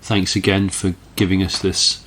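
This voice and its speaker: A man's voice: low-pitched, monotone, normal speed, background noise. A man co-hosting a podcast with dry, wry banter, sounding sinusy as a cold comes on, in a caravan. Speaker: podcast co-host. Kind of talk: casual chat. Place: inside a large caravan in a field.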